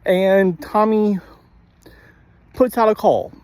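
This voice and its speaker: A man giving a casual spoken episode review in a halting way, often clearing his throat. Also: high-pitched, fairly even intonation, a little mellow, no echo.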